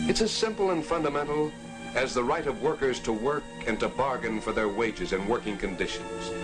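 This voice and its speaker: A man reading scripted narration for a 1940s propaganda film in an earnest, declamatory way. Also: medium pitch. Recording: old film soundtrack with music.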